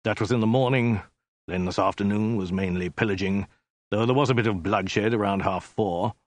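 A man's voice: fairly low pitch, moderate pace, no echo, close to an everyday voice. A man voicing a world-weary Mongol emperor who talks in vague, sighing, domestic understatement. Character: world-weary, absent-minded, battle-worn male Mongol emperor.

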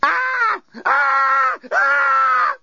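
Man playing a shrill, panicky young woman. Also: big pitch swings, very slow, gravelly, bright, forceful tone, no echo, raised voice, highs cut off, heavily acted. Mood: screaming panic. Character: screaming, flustered, apologetic young woman.

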